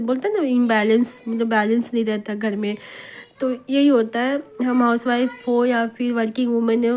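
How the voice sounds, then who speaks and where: A woman in her thirties from India, hoarse with a cold, narrating her home vlog in a chatty, rambling voice-over and mixing English words into her talk. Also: a little high, a little mellow. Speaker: vlogger.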